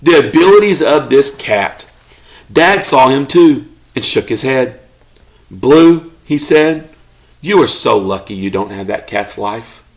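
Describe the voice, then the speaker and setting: A man reading a children's story aloud expressively, as a parent does to a child, pausing to ask questions. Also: mid-range voice, lively intonation. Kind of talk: expressive children's read-aloud, narrating as a pet dog.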